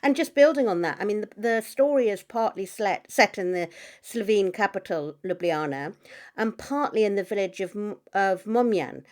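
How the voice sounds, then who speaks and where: Woman interviewer, mid-pitched, measured prepared questions. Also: lively intonation, darkish tone.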